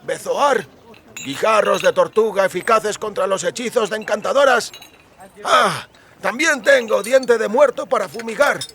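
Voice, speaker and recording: A man's voice: high voice, unhurried, vocal fry, pushed and bright, voice pushed up, exaggerated voice. A man voicing an eager, chatty amulet merchant with effusive, wheedling sales patter in an audiobook. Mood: eager, confiding. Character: eager, chatty male amulet merchant peddling quack remedies. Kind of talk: audiobook character acting.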